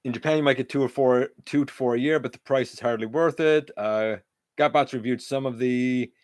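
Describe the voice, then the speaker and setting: Loud-voiced man chatting on a livestream. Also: mid-range voice, fairly quick, no room sound, clean studio sound. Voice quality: loud voice that carries. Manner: chatty, reads out viewer comments and answers them. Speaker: livestream host; teacher. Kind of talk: casual live-stream chat with viewers.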